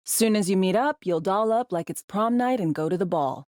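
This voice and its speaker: An actress playing a tough, bossy, wry spy handler in a cyberpunk video game, with clipped, slangy, teasing delivery. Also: female voice, mid-range voice, expressive, moderate pace, darkish tone, dry recording, studio quality, close to an everyday voice. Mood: brisk, wry briefing. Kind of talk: video game voice acting, briefings and radio guidance.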